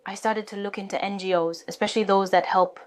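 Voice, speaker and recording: A woman's voice: medium pitch, fairly even intonation, fast. A woman from North Korea telling her life story in an earnest, reflective way during a casual chat.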